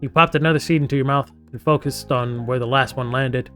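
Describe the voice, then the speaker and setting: A man's voice: mid-range voice, fairly even intonation, fairly quick, bright, forceful tone, faint music behind. A man hosting a gaming live stream, playfully reading story text aloud and breaking off into theatrical, jokey asides.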